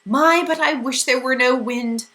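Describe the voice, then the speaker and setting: A woman's voice: high-pitched, very animated, measured pace, fairly bright tone, voice pushed up, full character voice. A woman doing a fussy, plaintive old being. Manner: plaintive, fluttery.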